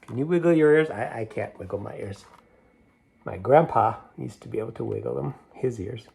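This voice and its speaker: A man reading a picture book aloud to children, stopping to talk about the pictures and ask his young listeners questions in a playful, unhurried way. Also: medium pitch, gravelly, a little mellow, breathy edge. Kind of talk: read-aloud storytime with asides about the pictures.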